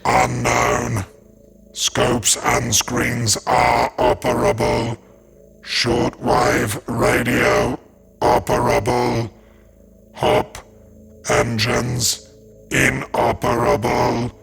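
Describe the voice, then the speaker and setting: An actor playing a stiff, dutiful ship's servant who reports in a flat, clipped monotone. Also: male voice, mid-range voice, extremely slow, vocal fry, faint music behind, heavily acted. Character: stiff robotic male ship servant.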